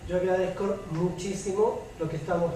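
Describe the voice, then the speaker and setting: A woman hosts a public debate with courteous, formal phrasing. Her voice sits at a middle pitch. The recording is very echoey and distant, with clear background noise. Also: steady tone, normal speed, dark tone. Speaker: debate moderator. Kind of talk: public event hosting, closing thanks.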